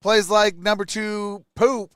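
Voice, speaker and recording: A man's voice: sits high, little pitch movement, slow, fairly bright tone, clear, resonant tone, dry recording, clean studio sound. A man hosting a live sports stream, giving casual reactions and commentary on the play.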